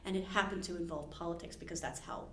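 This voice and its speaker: A woman hosting a casual library podcast, quick, playful and emphatic. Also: on the low side, fairly even intonation, a bit bright, reverberant. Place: room at a library.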